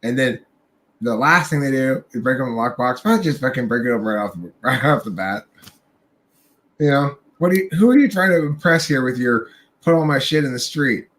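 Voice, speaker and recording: A man's voice: mid-range voice, lively intonation, brisk, a bit bright. Rambling, swearing young man. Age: young adult. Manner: rambling, profane storytelling with laughs. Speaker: podcast host. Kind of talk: casual livestream chat.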